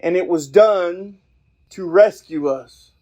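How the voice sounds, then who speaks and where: A man hosting a podcast in an informal preaching style, talking in an earnest, folksy, halting way outdoors. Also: sits high, dark tone, highs cut off. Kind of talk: informal evangelistic talk.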